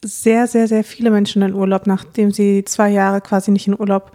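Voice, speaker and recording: A woman's voice: medium pitch, flat delivery, moderate pace, mellow. A young woman, chatting casually as a podcast co-host and making dry, teasing remarks.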